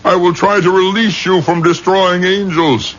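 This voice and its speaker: An actor playing a solemn rabbi exorcist with deliberate, incantatory speech in a 1970s radio drama. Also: male voice, sits high, fairly even intonation, fairly bright tone. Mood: commanding, solemn. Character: weary, solemn rabbi exorcist, commanding with spirits. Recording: dull, noisy 1970s radio broadcast.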